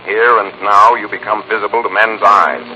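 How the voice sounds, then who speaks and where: Muffled old radio recording, very low-voiced man, commanding sleuth, determined, 1940s mystery drama. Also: steady tone, moderate pace, sharp tone, slight background noise. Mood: menacing, triumphant.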